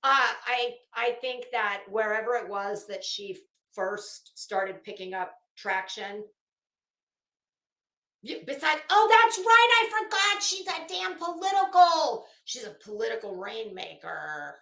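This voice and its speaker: A woman ranting casually as an online host, blunt and sarcastic, swearing freely and halting to stretch words for emphasis. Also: a little high, very animated, pushed and bright. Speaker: YouTube host. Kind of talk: casual livestream rant. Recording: echoey room.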